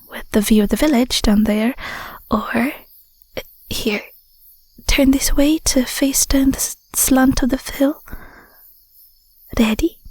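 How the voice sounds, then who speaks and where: A woman voicing an affectionate, bashful young Scottish werewolf girlfriend in an intimate audio roleplay, soft, whispery and a little hesitant, close on the mic. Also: a little high, husky, no echo. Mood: softly excited. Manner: hesitant, stammering, murmuring endearments. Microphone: close microphone.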